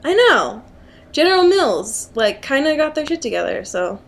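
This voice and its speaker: A woman's voice: sits high, sing-song, normal speed, creaky. A young American woman co-hosting a casual podcast, chatting in slangy, exclamatory, sweary language. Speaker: podcast co-host. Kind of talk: casual chat, recapping a book.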